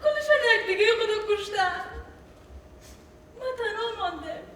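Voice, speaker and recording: A woman's voice: very high voice, animated, unhurried, a bit bright. A woman performing an intense, dramatic stage monologue. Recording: echoey stage from far off, faint noise.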